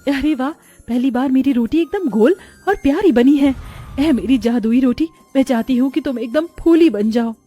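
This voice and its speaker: A woman voicing a gentle, poor young daughter-in-law in a cartoon, sweet and earnest. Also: sits high, lively intonation, normal speed, soft background music. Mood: delighted.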